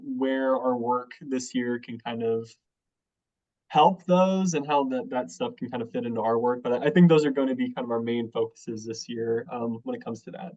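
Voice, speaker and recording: A man's voice: medium pitch, muffled recording. Congested man giving a laid-back update. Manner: relaxed, hedging with "kind of". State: nasal from a cold. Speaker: rural work group lead. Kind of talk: casual meeting update.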